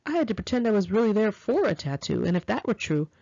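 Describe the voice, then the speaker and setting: Female memoir narrator, American, lively swooping intonation, confiding and dramatic, on a slightly dull lo-fi home recording. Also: mid-range voice, fast talker, gravelly, no room sound. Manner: expressive, confiding, girlish slang. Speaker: author reading own memoir. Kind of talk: first-person audiobook narration, conversational and dramatic.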